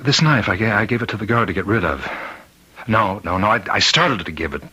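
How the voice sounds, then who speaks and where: Husky, anguished prison warden. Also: male voice, on the low side, expressive, pushed and bright, full character voice, rough recording. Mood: desperate, menacing. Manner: halting, anguished, repeating himself. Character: tormented prison warden, husband fearing he is possessed. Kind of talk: old-time radio drama acting. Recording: vintage radio drama recording.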